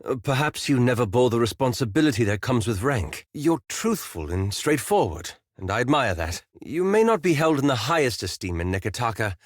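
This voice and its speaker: Reserved, courteous elf in dry close sound. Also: male voice, medium pitch, very animated, fairly quick, hardly any pauses, no echo. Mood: earnest, guarded. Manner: formal, articulate, hesitant and apologetic phrasing. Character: reserved, courteous male elf adventurer with a guarded temper. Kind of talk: scripted video game character dialogue.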